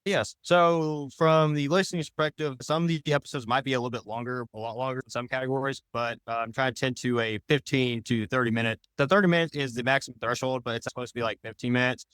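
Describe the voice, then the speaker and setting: A man's voice: mid-range voice, quick pace, hardly any pauses, a bit bright, smooth, dry recording. A man giving casual, rambling interview answers as a podcast guest, in loosely phrased explanations. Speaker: podcast guest, audio drama creator.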